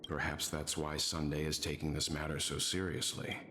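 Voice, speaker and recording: Very deep, tactful investigator. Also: male voice, level tone, slight background noise. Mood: pensive. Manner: measured, tactful, reserved. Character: calm, courteous, thoughtful mature male investigator. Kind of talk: scripted video game acting. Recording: echoey voice under loud game music. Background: loud game music.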